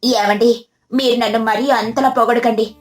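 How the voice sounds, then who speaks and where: A woman's voice: fairly high pitch, fairly even intonation, moderate pace, faint music behind, natural voice. A woman voicing a devoted, affectionate poor village wife in a story, tender and humble.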